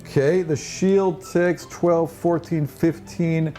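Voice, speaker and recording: Mellow-voiced man running a tabletop game. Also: a little high, steady tone, slow, faint music behind. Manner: conversational, switching between rules talk and scene description. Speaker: game master. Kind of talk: narrating a tabletop fantasy game, casual improvised.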